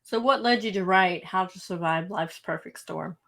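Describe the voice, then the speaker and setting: Woman, podcast host, somewhat low voice, affirming, encouraging. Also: moderate pace, vocal fry, a bit bright. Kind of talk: podcast interview.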